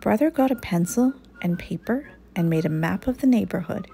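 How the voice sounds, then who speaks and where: A woman reading a children's picture book aloud in a deliberate, animated storytelling manner. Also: medium pitch, vocal fry, faint music behind. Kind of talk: expressive read-aloud narration.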